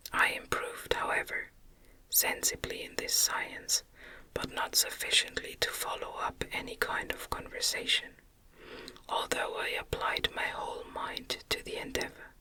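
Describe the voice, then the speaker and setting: A woman narrating a literary novel in a gentle, unhurried ASMR whisper. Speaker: audiobook narrator.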